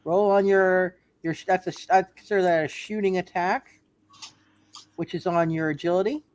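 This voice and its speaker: A man narrating a tabletop game as game master, improvising in a halting way and repeating himself. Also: high-pitched, steady tone, slight background noise.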